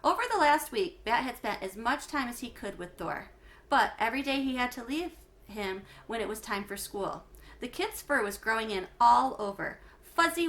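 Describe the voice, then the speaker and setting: A woman reading a children's book aloud like a teacher to schoolchildren, with expressive phrasing and emphasis on key words. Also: fairly high pitch. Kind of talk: read-aloud storytelling for schoolchildren.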